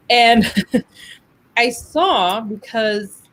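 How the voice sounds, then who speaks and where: A woman's voice: a little high, extremely slow, fairly bright tone, slight background noise, poor audio. An American woman from New Mexico co-hosting a show in casual chat, speaking in a candid, outspoken, informal way. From New Mexico, USA. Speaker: co-host of a business show.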